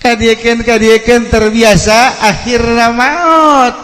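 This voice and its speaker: A man with a Sundanese accent preaching as a storyteller, humorous and theatrical, acting out dialogue and swinging between hushed and loud delivery. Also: extremely high pitch, fairly even intonation, slow pace. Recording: echoey hall with long reverb.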